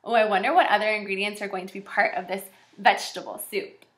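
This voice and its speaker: A woman in her twenties reading aloud and teaching young children as a kindergarten teacher, in an encouraging, animated way. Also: mid-range voice, quick pace. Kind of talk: children's read-aloud lesson. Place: small indoor room with shelves and whiteboard. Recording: echoey room.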